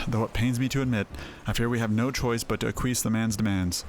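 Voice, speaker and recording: A man's voice: on the low side, fairly even intonation, a little fast, a little hiss or hum, natural voice. A man voicing a passionate, resolute young woman in a fantasy game, with earnest, formal, archaic speech. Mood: resigned, earnest. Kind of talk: voiced fantasy game dialogue. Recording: game music behind voice. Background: game music.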